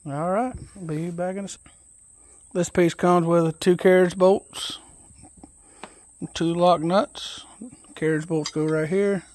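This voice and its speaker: A man casually walking through an assembly job outdoors, reading steps aloud and thinking out loud in a relaxed, folksy way, recorded on a phone camera held close. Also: high-pitched, takes it slowly, pauses often. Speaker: DIY video host. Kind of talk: casual step-by-step assembly walkthrough. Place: outdoors on a wooden deck.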